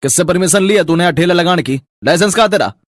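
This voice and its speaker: A man voicing a gruff, corrupt police officer in a cartoon story, brusque and commanding. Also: high voice, fast, no echo, studio quality, lightly acted. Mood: angry, commanding. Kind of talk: Hindi cartoon story dubbing.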